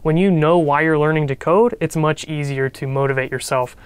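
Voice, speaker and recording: A man's voice: fairly high pitch, fairly even intonation, normal speed, smooth. Upbeat young man, stuffy nasal voice. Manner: upbeat, joking asides. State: nasal from a cold. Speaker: coding tutorial host. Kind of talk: casual instructional talk to camera.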